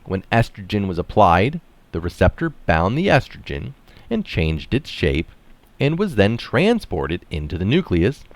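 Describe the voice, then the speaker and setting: A man with a low voice reads scholarly writing aloud. His phrasing is deliberate and emphatic, with pitch that moves widely. The sound is dry and close. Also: no echo. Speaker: article reader and commentator. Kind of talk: reading a science article aloud, with brief casual commentary.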